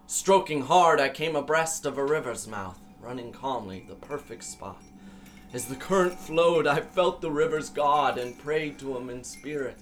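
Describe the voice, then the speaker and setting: A man portrays an exhausted seafarer at the mercy of the gods, telling his ordeal in earnest, declamatory verse. His pitch is mid-range. Faint ambience runs behind this epic audio drama. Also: lively intonation, normal speed, close to an everyday voice. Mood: relieved. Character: weary shipwrecked wanderer pleading with the gods. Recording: faint ambient background noise.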